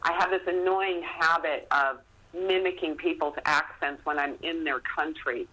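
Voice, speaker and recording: A woman's voice: sits low, unhurried, slightly rough, poor audio. A middle-aged woman, telling stories casually as an interview guest, chatty and self-deprecating. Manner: chatty, self-deprecating, animated retelling of exchanges. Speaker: podcast guest, author.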